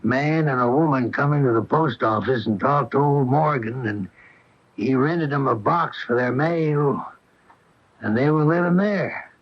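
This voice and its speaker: A man plays a hopeful small-town American prospector in a 1980 radio play. He is medium-pitched and easygoing, with a folksy, questioning delivery, heard through a dull old broadcast. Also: a little slow, natural voice. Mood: curious, insistent. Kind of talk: 1980 radio drama acting.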